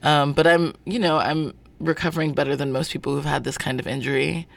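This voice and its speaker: A man being interviewed as a guest about his work, speaking in a thoughtful, searching way with many pauses and fillers. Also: a little high, steady tone, creaky, fairly bright tone. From born in North Carolina, family from Zimbabwe. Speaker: guest, performer of a show. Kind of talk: reflective interview talk.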